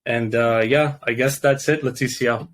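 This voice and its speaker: Young Canadian-born male guest, halting and thoughtful, plenty of fillers and restarts, mid-pitched voice. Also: fairly even intonation, a little hiss or hum. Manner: hesitant, many restarts and fillers. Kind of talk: casual interview chat.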